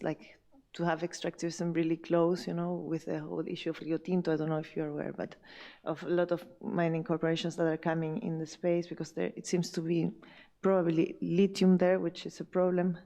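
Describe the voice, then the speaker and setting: Woman panelist, low gravelly voice, halting, thinks aloud with fillers. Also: warm tone. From Chile. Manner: thoughtful, halting, many fillers and restarts. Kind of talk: round table discussion.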